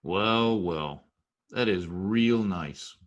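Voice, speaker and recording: A man's voice: low voice, very slow, muffled recording. A man playing a wry Broadway wise guy who narrates an old-time radio play in slangy yet oddly formal present tense. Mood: curious. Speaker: narrator and actor in an amateur radio play recreation.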